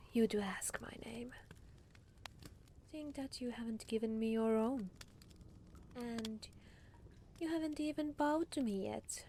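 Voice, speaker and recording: A woman voicing a haughty, teasing demon lord in an ASMR roleplay, condescending and theatrical, with breathy murmurs. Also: fairly high pitch, mellow, slight background noise.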